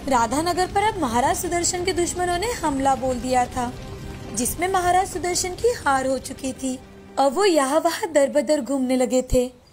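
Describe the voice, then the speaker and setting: A woman's voice: high-pitched, normal speed, a little mellow. A woman narrator reading a scripted folktale. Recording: music bed behind the voice.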